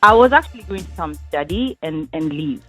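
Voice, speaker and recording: A woman from Kenya calling into a radio show, telling her story in a lively way and acting out the people in it. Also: on the low side, brisk, soft background music. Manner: animated storyteller who acts out her parents' voices. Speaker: phone-in guest. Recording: tinny phone line.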